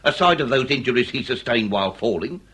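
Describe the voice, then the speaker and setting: An actor playing a pompous, irascible Victorian police inspector with a British accent, speaking in florid, blustering, orotund phrases for a comic period radio drama. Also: male voice, medium pitch, lively intonation, fast talker, fairly bright tone, breathy edge. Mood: probing.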